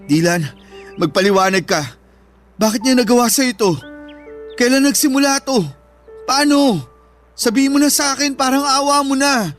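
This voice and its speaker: An actor playing an emotional, protective older brother with a Filipino accent in a radio drama, pleading and earnest. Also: male voice, very high-pitched, normal speed, pauses often, fairly bright tone. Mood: pleading, upset. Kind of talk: Tagalog radio drama acting. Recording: background music throughout.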